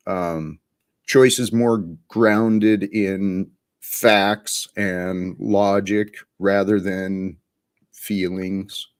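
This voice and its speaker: A man with a lowish voice hosts a livestream at a very slow, reflective pace. He pauses often and lets his thoughts settle before going on. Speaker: livestream host.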